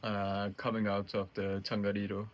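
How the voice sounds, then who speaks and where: Young Swiss man, hesitant, lowish voice. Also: monotone, moderate pace. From Switzerland, French-speaking part. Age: 20s. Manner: hesitant, laughs often, slightly broken English. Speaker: interviewee hiker.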